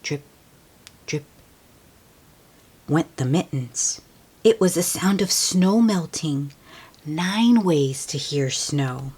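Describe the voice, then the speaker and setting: A woman reading a picture book aloud to children as host, expressive and often hushed, with friendly asides to young listeners. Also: low voice, slow pace, long pauses, husky.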